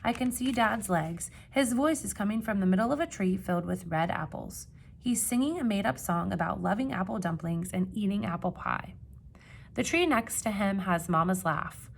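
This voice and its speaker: A woman reading a children's picture book aloud like a teacher, with expressive emphasis and questions put to young listeners. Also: mid-range voice, vocal fry, slight background noise. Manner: expressive, dramatic gasps and emphasis, pausing to ask questions.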